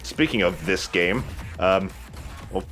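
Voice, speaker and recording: A man's voice: low-pitched, takes it slowly, fairly bright tone. A man giving casual, jokey let's play commentary over a video game, chatting and swearing freely. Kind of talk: casual gameplay commentary with banter. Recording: music behind the voice. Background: game music.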